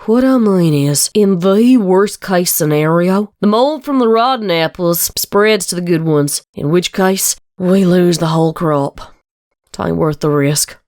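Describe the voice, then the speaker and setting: A woman voicing an earnest, burdened farm mare in a dramatic story reading, with an American Southern accent and a folksy, measured delivery. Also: fairly low pitch, a little mellow, dry recording, clean studio sound, close to an everyday voice. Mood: serious, practical. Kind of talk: dramatic fan fiction reading.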